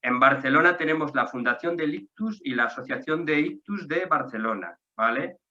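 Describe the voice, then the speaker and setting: Bright-toned man explaining resources. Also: fairly high pitch, dull audio. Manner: spells out names slowly, friendly explaining. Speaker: association staff member hosting the talk. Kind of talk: casual conversational presentation.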